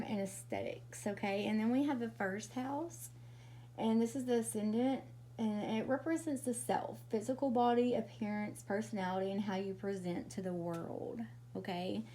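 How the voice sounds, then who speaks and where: A woman doing a casual tarot reading, her voice raspy from a cold, chatty and often checking in with "okay?". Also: mid-range voice, mellow, a little hiss or hum. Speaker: tarot reader.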